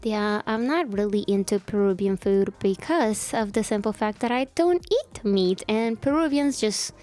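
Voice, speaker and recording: A woman's voice: mid-range voice, animated, normal speed, darkish tone, soft background music. Woman in her twenties, chatty podcast host. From El Salvador. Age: 20s. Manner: chatty, self-deprecating, laughs easily. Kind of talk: casual chat.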